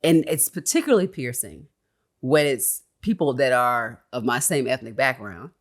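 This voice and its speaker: An American woman co-hosting a podcast, speaking earnestly and deliberately, often pausing and repeating phrases as she reflects. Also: very deep voice, animated. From Baltimore, United States. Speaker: podcast co-host. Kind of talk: reflective conversational discussion.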